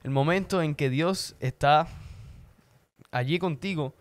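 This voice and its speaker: Man, native English speaker, clean resonant voice, halting, casual faith discussion, podcast co-host. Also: fairly high pitch. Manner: halting, searches for words, slips into English.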